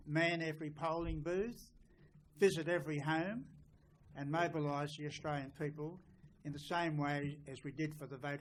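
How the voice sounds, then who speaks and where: Male political panellist, slightly high voice, decisive campaigner's delivery, live room with light noise. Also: poor audio. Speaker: conference panellist. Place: indoor conference session.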